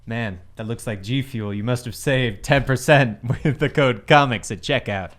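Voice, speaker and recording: A man with a medium-pitched voice talks casually at a tabletop game. He is a player with a wry, joking way of putting things. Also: normal speed.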